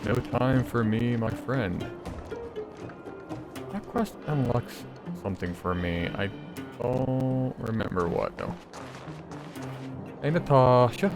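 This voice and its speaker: A man with a sick, tired, low-energy voice giving casual, offhand commentary as a streamer playing a video game. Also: expressive, slow pace, long pauses. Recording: game music behind the voice. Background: video game music.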